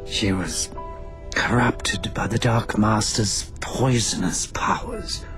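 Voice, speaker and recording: An actor playing a wise old male dragon mentor, solemn and regretful, telling his tale in measured phrases for a video game. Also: sits low. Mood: grave. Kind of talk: dramatic video game cutscene narration.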